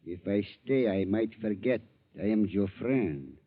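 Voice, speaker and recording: Loyal fisherman: low, serious, measured, very muffled vintage radio drama with noise. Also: expressive. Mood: grave. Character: grave, loyal fisherman friend. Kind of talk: 1940s radio acting. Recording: dull, noisy 1940s radio recording.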